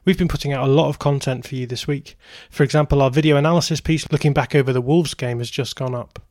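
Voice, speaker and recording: A man's voice: mid-range voice, fairly even intonation, fast, darkish tone, no room sound, studio quality. A man hosting an interview in a conversational way. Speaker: interview host.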